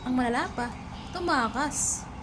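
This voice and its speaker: A woman's voice: sits high, measured pace, fairly bright tone, a little hiss or hum. A woman playing a disapproving, scandal-spreading neighbour in a radio play, speaking in a gossipy way. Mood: scandalized. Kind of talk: radio play acting in Filipino.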